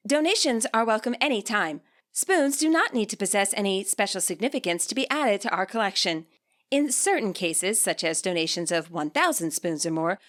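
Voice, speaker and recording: A woman reading scripted fiction aloud as a podfic narrator, measured and clearly enunciated. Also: mid-range voice, fairly bright tone. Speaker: podfic reader.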